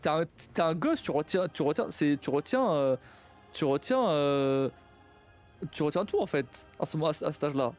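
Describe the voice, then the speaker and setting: A man's voice: on the high side, very animated, soft background music. A young man chatting casually on a live stream, stumbling and repeating himself as he thinks aloud. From Portuguese family. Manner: halting, many repeats and restarts, laughs often.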